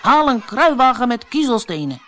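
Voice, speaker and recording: Woman acting a bossy, high-pitched angry rabbit. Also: big pitch swings, normal speed, a little hiss or hum. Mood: commanding. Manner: angry, commanding, impatient. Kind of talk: children's fairy-tale storytelling.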